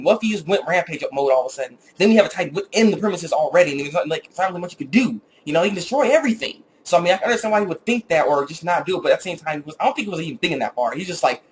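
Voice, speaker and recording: A man with a slightly high voice talks very quickly in a casual, off-the-cuff review. He rambles in an animated way as he retells scenes, and there is clear background noise on the recording. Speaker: anime reviewer with his own video channel.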